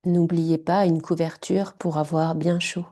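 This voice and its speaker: A woman guiding a yoga nidra relaxation in short, hushed, soothing phrases. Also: low-pitched, level tone, unhurried. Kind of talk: guided meditation.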